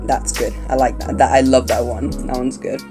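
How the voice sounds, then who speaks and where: A man's voice: mid-range voice, flat delivery, normal speed. Young guy from colombia, hesitant chatty toy unboxing, guitar music playing behind him. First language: not Spanish. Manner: chatty, hesitant, many fillers and restarts. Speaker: toy reviewer. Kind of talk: casual unboxing review. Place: indoor room at a table.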